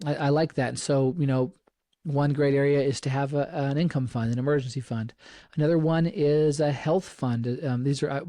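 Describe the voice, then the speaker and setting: A middle-aged man talks through practical advice in an earnest, casual podcast manner, circling back and repeating himself. His voice is mellow and mid-pitched. The sound is dry and close, with no room around it. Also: no echo. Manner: earnest, repeats phrases and restarts often. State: slightly froggy voice. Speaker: podcast host.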